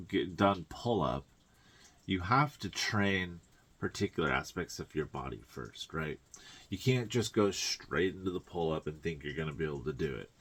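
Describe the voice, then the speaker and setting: A man speaking as a tarot reader and spiritual guide, in an informal coaching monologue with halting, reflective phrasing and colloquial asides. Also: sits low, breathy edge.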